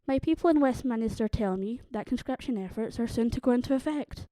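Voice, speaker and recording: A woman's voice: a little high, a little fast, mellow, no room sound, lightly acted. A woman playing a stern, haughty vampire leader in an audio drama, formal, guarded and imperious in her speech. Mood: grave, measured.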